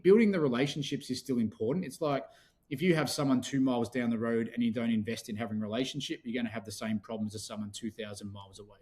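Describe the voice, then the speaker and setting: A man being interviewed as a company founder on a podcast, explaining things in a steady, practical way. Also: medium pitch. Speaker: podcast guest, business founder. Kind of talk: interview conversation.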